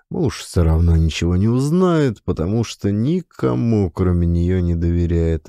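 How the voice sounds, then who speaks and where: Male audiobook narrator, deep pitch, dry close sound, dramatic literary reading. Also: creaky, mellow, no echo.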